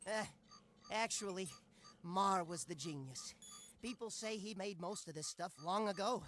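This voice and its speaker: A man's voice: high-pitched, unhurried, fairly bright tone, a little hiss or hum. An actor voicing a fretful male tech genius in a video game, talking in nervous technical rambles. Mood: fretful, rambling. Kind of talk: animated video game cutscene acting.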